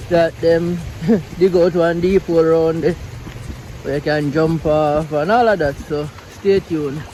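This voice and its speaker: Relaxed Jamaican vlogger, a man with a high voice and a Patois accent, filmed outdoors by a river with noisy water behind. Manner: relaxed, chatty, mixing Patois into English. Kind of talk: casual vlog talk outdoors.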